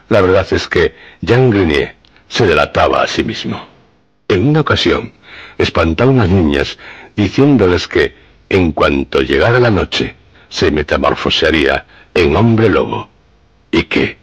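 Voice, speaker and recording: A man's voice: low-pitched, slightly rough. A man with a Castilian Spanish accent narrates a scripted radio horror tale in a solemn, ominous, dramatic way.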